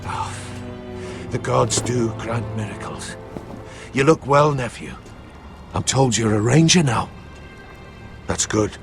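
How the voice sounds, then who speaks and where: Grave middle-aged uncle, music behind. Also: male voice, medium pitch, animated. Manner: measured, formal, archaic phrasing. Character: grave, devoted middle-aged uncle serving a noble house. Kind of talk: video game drama acting.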